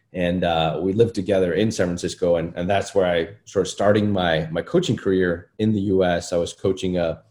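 A man's voice: low voice, darkish tone. A man in his late thirties who grew up in Iceland and speaks English as a second language, a golf coach chatting in an interview with many fillers and restarts. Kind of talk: casual interview conversation.

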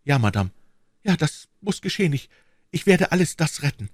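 A brave young woman servant in a storybook, acted out by a man. The voice is lifted in pitch, eager and pleading, and it reassures with earnest devotion. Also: very animated, normal speed, no echo, clean studio sound. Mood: urgent, assuring.

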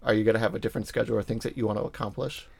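A man's voice: fairly low pitch, steady tone, racing, vocal fry, a little mellow, slightly rough, dry recording. Encouraging Boston-accented man chatting. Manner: encouraging, coaching tone with frequent fillers. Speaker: podcast host. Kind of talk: casual family chat.

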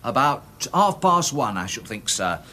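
Nervous little english witness, sharp tense voice, lively pitch, apologetic, faint noise. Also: male voice, a little slow. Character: timid, flustered, deferential little man as witness. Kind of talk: radio drama acting.

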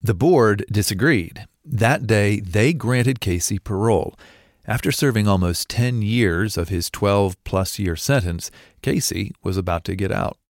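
A man narrating a true story in a calm, measured scripted style. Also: lowish voice, no echo.

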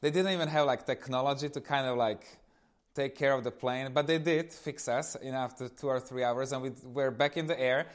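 A man in his thirties from eastern Slovakia, with a Slovak accent, speaks informally to an audience as a guest giving a personal testimony, telling his stories in an animated way. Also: medium pitch, brisk.

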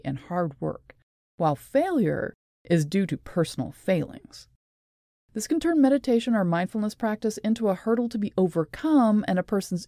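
A woman presenting a scripted explanatory talk in a measured, deliberate and articulate way. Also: medium pitch, dark tone, dry recording, studio quality. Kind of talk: scripted explanatory narration with read quotations.

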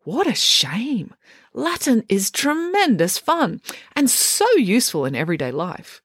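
A woman's voice: on the high side, very animated, measured pace, breathy edge, dry recording, studio quality, pitched above the performer's own voice, full character voice. A woman doing a breezy, bossy scientist mum. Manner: breezy, chirpy, talks over others. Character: brisk eccentric scientist mother, oblivious and bossy.

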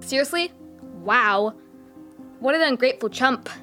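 A woman playing an eager, outspoken young adventurer with slangy, expressive speech in a children's audio drama. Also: high voice, unhurried, soft background music, exaggerated voice. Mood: incredulous.

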